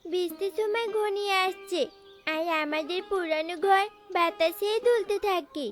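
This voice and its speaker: A tiny cartoon girl bird, with an extremely high, childlike voice. She is sweet, eager and earnest. Music plays clearly behind her. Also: unhurried. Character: small eager young girl bird, sweet and bright-minded. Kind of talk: cartoon character acting.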